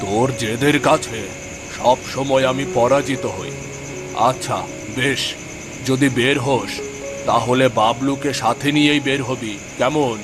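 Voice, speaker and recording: A man's voice: medium pitch, takes it slowly, long pauses, music in the background, natural voice. Man playing a worried cartoon village father. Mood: worried, cautioning. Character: worried, cautioning village father of a grown son. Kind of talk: Bengali cartoon story dialogue.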